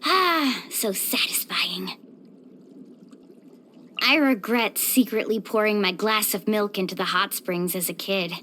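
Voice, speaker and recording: A woman voicing an upbeat, caring, sporty young surfer in a video game, speaking in a peppy, expressive, friendly way. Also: medium pitch, slow pace, a little hiss or hum. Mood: candid, upbeat. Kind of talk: scripted video game voice acting.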